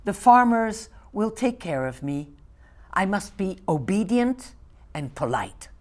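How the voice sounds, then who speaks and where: An elderly woman from Belgium in her eighties reads her poems aloud in a Flemish accent, English not being her first language. Her pace is slow and deliberate, soft and measured, and some passages sink to a near whisper. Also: mid-range voice, sing-song. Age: 80s. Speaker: poet. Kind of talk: poetry reading.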